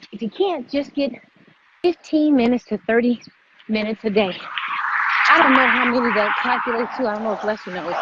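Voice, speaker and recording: A woman hosting a motivational live vlog, speaking emphatically and repeating phrases for stress. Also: fairly high pitch. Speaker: vlog host, fitness motivator. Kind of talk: motivational livestream chat. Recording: muffled, noisy live-stream audio.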